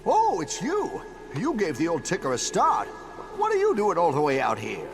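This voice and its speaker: A man's voice: sits high, huge pitch swings, moderate pace, music in the background, heavily acted. An actor voicing a chatty, kindly old male plumber with a folksy drawl in a game cutscene. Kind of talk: video game cutscene acting.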